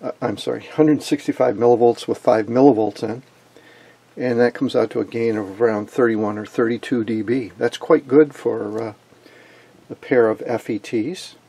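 A man presenting an unscripted technical demonstration in a halting, matter-of-fact way. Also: mid-range voice, steady tone, vocal fry, mellow, breathy edge. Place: indoors at an electronics workbench.

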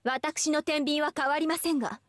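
A woman's voice: high voice, steady tone, normal speed, fairly bright tone, no echo, studio quality. A woman playing a proud young goddess of justice in an anime game, with refined, teasing, ladylike speech. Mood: resolute, tender. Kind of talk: scripted anime game voice acting.

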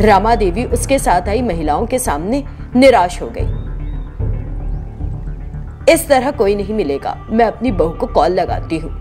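A woman reading a scripted story as narrator. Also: fairly high pitch.

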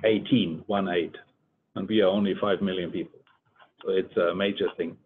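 A man's voice: steady tone, normal speed. Older man, phone-like sound. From Germany. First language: German. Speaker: research scientist giving a presentation. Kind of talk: conference presentation. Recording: muffled phone-like line, rumbling noise.

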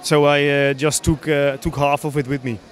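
Higher-voiced Dutch man explaining his hobby. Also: level tone, normal speed. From Netherlands. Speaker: hobby model builder, interviewee. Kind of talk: casual interview explanation. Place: large busy exhibition hall, indoors. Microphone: handheld interview microphone.

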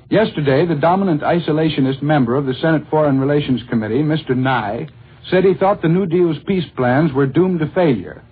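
An American man reporting the news as a 1940s radio correspondent, with a husky voice. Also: fairly high pitch. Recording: scratchy narrow-band 1940s radio recording.

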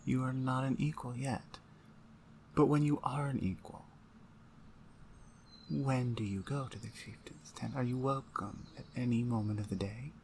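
A woman's voice: rumbling low, fairly even intonation, darkish tone, slight background noise. Woman acting a stern, domineering orc shaman. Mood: exasperated, lecturing. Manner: deliberate, pointed, condescending, interrogating. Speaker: audio roleplay voice actor. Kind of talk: ASMR roleplay, one-sided dramatic dialogue.

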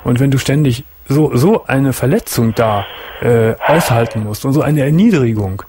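A man's voice: medium pitch, moderate pace, noisy background. A middle-aged man hosting a late-night call-in show from a radio studio, giving blunt, firm advice. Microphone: studio mic with headphones.

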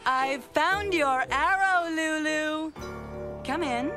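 A woman's voice: sits high, slow pace, over music. A woman voicing a smug, scheming rich little boy in a children's cartoon, with a snooty manner. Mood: smug, accusing. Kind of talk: animated children's cartoon acting.

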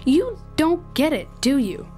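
A taunting young boy, with a high voice that swoops up and down. He needles with smug, false concern in an audio drama, faint music underneath. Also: very animated, measured pace, warm tone. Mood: needling. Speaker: actor.

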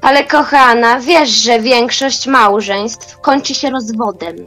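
A woman's voice: a little high, pushed and bright, faint music behind. A young woman playing a grumpy, spiteful old grandmother in an amateur radio play, complaining and indignant. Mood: snide. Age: young adult. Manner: querulous, indignant, drawn-out.

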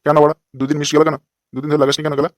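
A man doing a rapid-fire crude cartoon holy man. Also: on the high side, completely flat delivery, no room sound, close to an everyday voice. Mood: scolding. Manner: brash, crude, scolding. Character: crude, lecherous, foul-mouthed holy man, a fake sadhu. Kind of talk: comic cartoon dialogue.

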